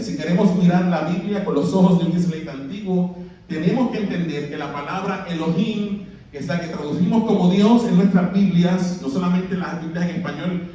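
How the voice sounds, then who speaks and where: Puerto Rican pastor in a booming hall. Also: male voice, a little mellow. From Puerto Rico. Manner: asks the congregation questions and has them read aloud. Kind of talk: sermon. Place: large church hall. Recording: very echoey hall, voice from a distance.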